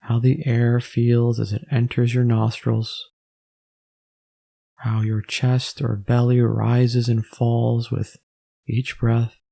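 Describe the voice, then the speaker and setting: A man narrating a guided meditation as the instructor, gently and in measured phrases with pauses. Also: mid-range voice, gravelly.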